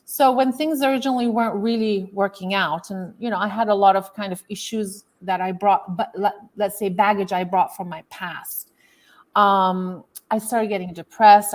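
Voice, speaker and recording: A woman from Turkey, around her thirties, chatting as an interview guest. Her voice sits at an ordinary middle pitch. She tells her story loosely, full of fillers and restarts. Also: steady tone, moderate pace. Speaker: guest, author and transformational coach. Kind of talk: casual interview chat.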